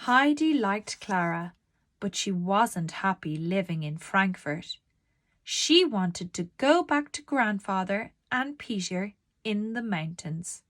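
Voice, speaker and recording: A woman narrating a children's storybook aloud in a deliberate, expressive storytelling manner. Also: medium pitch, no room sound, clean studio sound.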